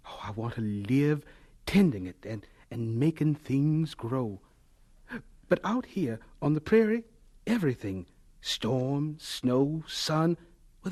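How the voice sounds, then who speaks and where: An actor playing an earnest, dreamy young homesteader in a radio drama, with a wistful, folksy, heartfelt way of talking. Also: male voice, mid-range voice, darkish tone. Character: earnest, dreamy young homesteader, later recalling his life.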